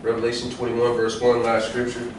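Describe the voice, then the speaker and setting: A man's voice: mid-range voice, monotone, a little fast. An American man preaching an informal sermon, reading scripture and commenting on it in an emphatic, colloquial way with repeated phrases. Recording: very echoey room, distant mic, faint noise.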